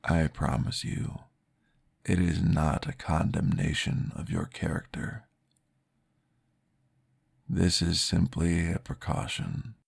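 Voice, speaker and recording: Deep, gravelly, slow-spoken vampire therapist, formal and reassuring, in an ASMR roleplay, voiced by a man. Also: level tone, full character voice.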